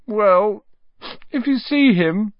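High, plaintive, miserable boy. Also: expressive, takes it slowly, no room sound, highs cut off. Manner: plaintive, wailing. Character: timid, miserable, tearful round-faced young boy.

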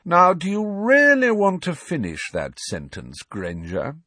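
A man's voice: high-pitched, very animated, measured pace, bright, forceful tone, dry recording, pitched above the performer's own voice, exaggerated voice. A drawling sneering schoolboy bully, as a male reader puts him on. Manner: drawling, taunting. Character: sneering teenage boy bully, smug and spiteful. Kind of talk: audiobook character reading.

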